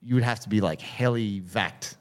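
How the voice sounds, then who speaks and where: Host: man, lowish voice, effusive and eager, casual podcast chat. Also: normal speed. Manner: effusive and enthusiastic.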